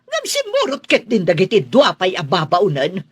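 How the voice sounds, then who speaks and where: A woman's voice: mid-range voice, wildly swooping pitch, normal speed, breathy edge, dry recording, studio quality. A woman playing a cruel, haughty middle-aged aunt and employer in a radio drama, with a scornful, imperious manner. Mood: cold, defensive.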